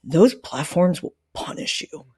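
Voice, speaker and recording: Lady podcast host, lower voice, super casual and emphatic, dry close sound. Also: very animated, measured pace, a little mellow, breathy edge, no echo. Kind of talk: casual conversational podcast talk.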